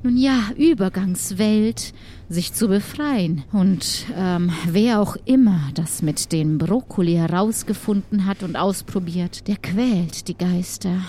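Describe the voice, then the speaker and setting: A woman playing a grave witch who protects ghosts, speaking in a measured, solemn way in an improvised audio adventure. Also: medium pitch, warm tone, a little hiss or hum, pitched below the performer's own voice, natural voice. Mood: measured, explanatory.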